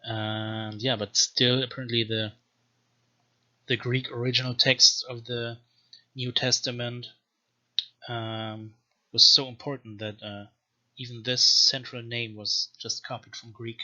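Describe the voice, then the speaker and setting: A man giving an informal, scholarly language lecture in a deliberate, measured manner, as a teacher explaining and reading aloud. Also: medium pitch, flat delivery, sharp tone. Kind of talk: informal explanatory lecture with slow read-aloud text samples. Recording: dull, lo-fi microphone sound.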